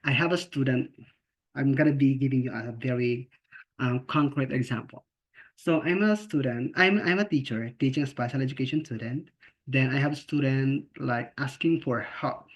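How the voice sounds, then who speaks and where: A man's voice: medium pitch, moderate pace. A man from the Philippines with a Filipino accent, a special education teacher giving an earnest, informal talk on a video call, often checking in with "okay?" and "right?". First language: not English. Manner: earnest, repeats and restarts words, asks "okay?" and "right?" often. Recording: slightly dull lo-fi video call audio.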